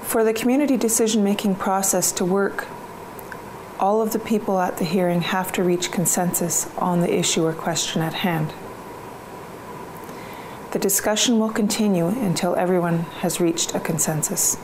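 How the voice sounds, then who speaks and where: A woman's voice: mid-range voice, steady tone, normal speed. Woman narrating, with slight background noise. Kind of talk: scripted informational narration.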